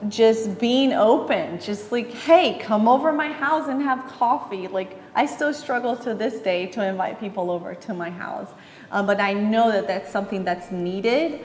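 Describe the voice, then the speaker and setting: A woman from Brazil, speaking candidly and lively in an interview, with bursts of speed. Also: mid-range voice, roomy sound, big-room sound. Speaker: interviewee, runner.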